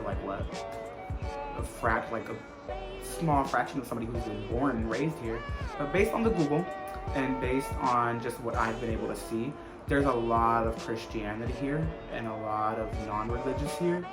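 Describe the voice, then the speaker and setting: A man in his twenties with an American accent, chatting casually and rambling a little as he answers questions as a vlogger, often repeating phrases for emphasis. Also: mid-range voice. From United States. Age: 20s. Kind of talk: casual Q&A chat to camera. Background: background music.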